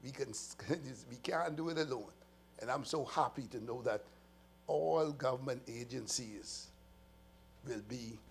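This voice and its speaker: A man's voice: mid-range voice, measured pace, vocal fry, a little hiss or hum. A Bahamian man, a police official, giving an earnest, emphatic press briefing as he walks through slides. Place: press conference room.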